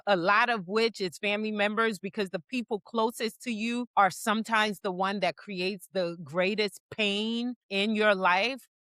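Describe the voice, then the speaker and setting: Woman co-host, mid-pitched, measured and explanatory, dry sound. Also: fairly bright tone, no room sound. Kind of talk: casual faith-based discussion.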